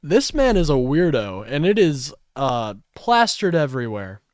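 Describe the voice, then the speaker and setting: A man talking casually on a livestream, blunt and sarcastic, swearing freely. His pitch swings a lot as he reacts, and the sound is close and dry with no room echo. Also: huge pitch swings, measured pace. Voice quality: says his voice is high-pitched. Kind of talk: casual political commentary.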